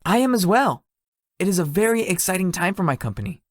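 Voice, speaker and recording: A woman's voice: on the low side, very animated, fast talker, no echo, studio quality. A woman voicing a shy, polite young male tech executive in a visual novel, slightly formal and hesitant in manner. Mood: earnest, mild. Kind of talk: visual novel character dialogue.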